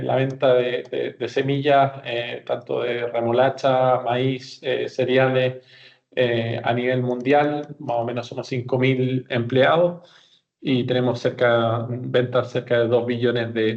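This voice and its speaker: A Chilean man in his forties speaks as an interview guest at a middle pitch. His delivery is even, explanatory and conversational, with hesitations and self-corrections. The recording sounds slightly dull and lo-fi. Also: gravelly. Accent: Chilean Spanish. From Santiago, Chile. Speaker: podcast guest, company executive. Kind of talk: conversational interview answers, personal recollection.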